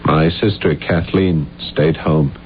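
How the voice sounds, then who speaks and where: An actor playing an earnest, halting, guilt-ridden failed playwright in a 1970s radio drama. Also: male voice, rumbling low, steady tone. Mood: wistful. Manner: halting, intense, stammering when flustered. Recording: dull, noisy old radio recording with hum.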